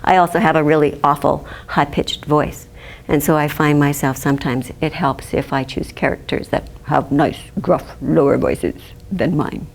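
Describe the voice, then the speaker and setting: A woman in her fifties gives a chatty lecture on storytime puppets, her voice pitched somewhat low for a woman. She is animated and laughs easily. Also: normal speed, gravelly. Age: 50s. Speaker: children's librarian teaching. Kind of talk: demonstration lecture.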